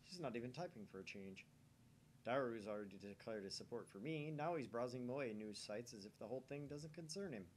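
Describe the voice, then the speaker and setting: Lively male visual novel narrator. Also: mid-range voice, fairly quick, a little hiss or hum. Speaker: narrator and co-host of a gaming let's play.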